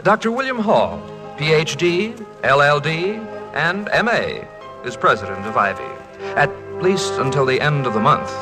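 A man's voice: a little high, sing-song, moderate pace. A man narrating a 1940s radio play in a formal, scene-setting, scripted style. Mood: formal, measured. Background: music.

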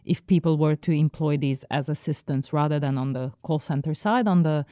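A woman co-hosting a podcast, making measured, reasoned points in casual discussion. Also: sits low, warm tone, no room sound, studio quality. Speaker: podcast co-host.

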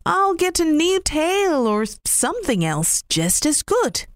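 A woman voicing a generous, gentle male rabbit in a storybook reading, with a high, singsong manner. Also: big pitch swings, takes it slowly, no echo, heavily acted. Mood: bargaining.

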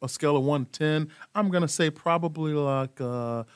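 A middle-aged man, a guest in an interview, talking about his son in a relaxed, rambling way with lots of fillers. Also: fairly high pitch, normal speed, clean tone, no echo, studio quality. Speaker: guest, parent. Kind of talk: casual interview conversation.

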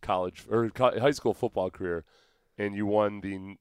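Guy in his 40s hosting a podcast, casual chat, smooth clear voice, super dry sound. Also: lowish voice, fairly even intonation, moderate pace, darkish tone, no echo. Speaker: podcast host and interviewer; former professional football player.

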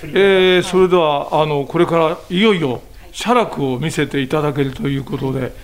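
A man's voice: fairly high pitch, normal speed. A man hosting a podcast, chatting casually and bluntly while looking at prints in a studio gallery. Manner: relaxed, chatty, blunt asides. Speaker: podcast host. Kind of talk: casual on-location interview chat.

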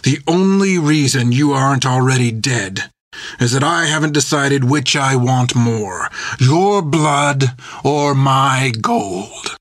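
A cruel, seething pirate captain voiced by a man spits each word and roars his threats. The voice rises well above his usual pitch in hot anger, in a dry, close recording with no room sound. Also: lively intonation, takes it slowly, no echo. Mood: menacing.

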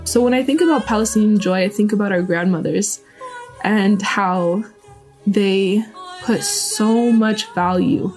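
A woman from Seattle talks in a casual, animated way with lots of fillers. Her voice sits in the middle range, and faint music plays under her. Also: medium pitch, a little slow. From Seattle, United States. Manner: warm, animated, many fillers like "like". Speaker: interviewee. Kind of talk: casual interview talk.

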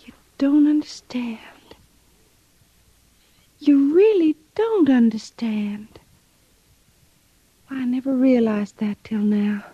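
An actress playing a gentle, weary, pregnant young frontier woman with an American accent, earnest and halting, in an old-time radio drama. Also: high-pitched, husky, dry recording, heavily acted. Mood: pleading. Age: young adult. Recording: dull vintage radio recording.

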